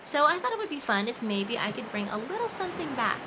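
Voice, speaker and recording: A woman playing a sweet, playful mermaid who tells stories to children in an animated, coaxing way. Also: high voice, fast talker. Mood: cheerful. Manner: animated, coaxing, asks listeners questions. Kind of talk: children's storytelling, addressed to the audience. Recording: faint background ambient noise.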